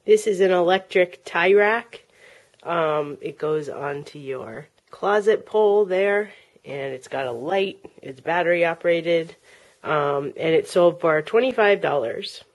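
Woman reseller rambling slow about stuff she sold, lots of ums, lowish voice, filmed at home. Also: a little mellow. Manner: chatty, with frequent ums and uhs. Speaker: online reseller. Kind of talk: casual show-and-tell of sold items. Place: home, tabletop filming. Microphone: handheld camera close-up, speaker off-camera.

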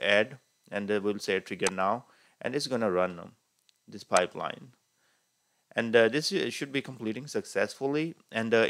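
A man teaching a screen-recorded software tutorial, talking unhurriedly with frequent "uh" fillers as he clicks through each step. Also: lowish voice. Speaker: tutorial instructor. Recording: faint hiss behind voice.

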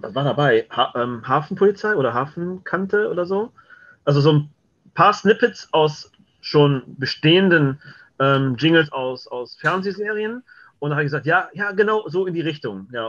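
A man in his thirties with a fairly high voice chats informally as an interview guest. The video call sound is slightly muffled and lo-fi. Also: expressive, moderate pace, a bit bright. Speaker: audio drama maker, interview guest. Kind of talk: casual chat, telling anecdotes. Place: room lined with shelves, on a video call. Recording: dull lo-fi video call audio.

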